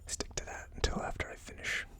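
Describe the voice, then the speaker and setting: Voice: brisk, pushed and bright. A person whispering in an ASMR style as host of a tasting video, with a tentative, musing delivery. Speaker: host of a whiskey tasting series.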